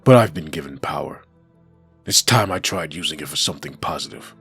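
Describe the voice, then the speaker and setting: A man's voice: a bass voice, animated, fairly quick, a bit bright, husky, faint music behind, heavily acted. A man playing a grim, guilt-ridden undead antihero in a comic dub. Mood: grave resolve. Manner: grim, brooding.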